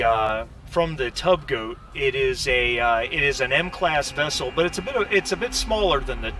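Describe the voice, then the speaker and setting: A man narrating a tabletop sci-fi game as game master, with halting, improvised phrasing. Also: mid-range voice, pushed and bright, a little hiss or hum.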